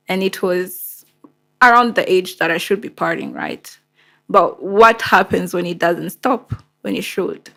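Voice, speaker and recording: A woman's voice: mid-range voice, fairly bright tone. A woman with a Rwandan accent and Kinyarwanda as first language, hosting and telling a personal story in a candid, conversational, emphatic way. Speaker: show host.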